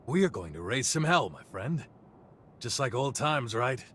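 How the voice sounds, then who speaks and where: An actor playing a cocky, thrill-seeking fighter in a game cutscene, exuberant and theatrical. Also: male voice, mid-range voice, sing-song, measured pace, a bit bright, slight background noise. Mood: boisterous. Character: brash, thrill-seeking warrior buddy, boisterous and cocky. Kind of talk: dramatic game cutscene dialogue.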